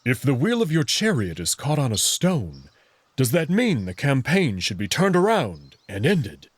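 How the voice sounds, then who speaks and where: A man playing a proud, protective furry alien prince in a visual novel, speaking in an assured, measured way with formal phrasing. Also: medium pitch, wildly swooping pitch, breathy edge, no room sound, exaggerated voice. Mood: assured, explanatory. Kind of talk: visual novel character acting.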